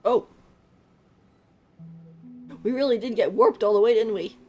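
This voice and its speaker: A woman's voice: on the high side, a little slow, dark tone, faint music behind. A woman livestreaming a game with casual, playful, giggly commentary.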